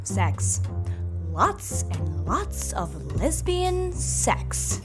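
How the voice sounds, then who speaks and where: A woman's voice: on the high side, big pitch swings, extremely slow, heavily acted. A woman playing a playful, teasing, fretful young woman in an audio drama. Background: music.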